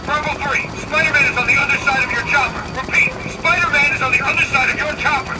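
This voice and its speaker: An actor playing an excitable armed male mercenary in a video game, speaking in an urgent, clipped way. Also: extremely high pitch, quick pace, heavy background noise.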